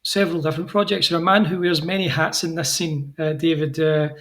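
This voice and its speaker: Male host, higher voice, chatty and self-deprecating. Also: steady tone, brisk, fairly bright tone. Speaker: podcast host. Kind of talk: casual interview chat.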